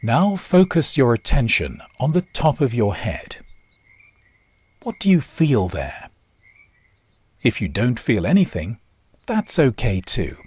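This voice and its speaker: A man guiding a body scan meditation in short, measured instructions with long pauses. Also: mid-range voice, wildly swooping pitch, a little mellow, breathy edge, dry recording. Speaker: meditation guide. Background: nature sounds.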